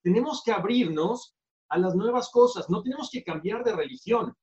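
A man's voice: high voice, expressive, moderate pace. A man from Mexico, hosting an interview and affirming his guest in a conversational way. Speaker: interviewer, podcast host. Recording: echoey, dull room sound.

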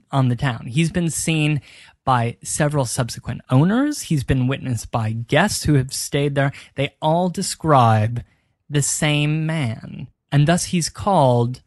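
A man co-hosting a podcast, telling local history and ghost stories with dramatic pauses. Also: on the high side, no echo, studio quality. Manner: builds tales with dramatic pauses. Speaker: podcast co-host. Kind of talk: storytelling history chat.

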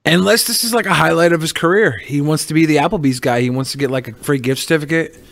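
A man's voice: on the high side, fast talker, vocal fry, fairly bright tone. A man co-hosting a podcast with casual, crude, joking banter. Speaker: podcast co-host.

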